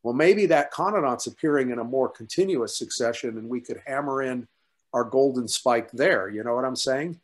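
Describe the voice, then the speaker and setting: A man's voice: medium pitch, normal speed. An older man with a mid-range voice talks through science on a video call. He is conversational and emphatic, like a researcher in an informal workshop discussion. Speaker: paleontologist at a workshop. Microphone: video call computer mic.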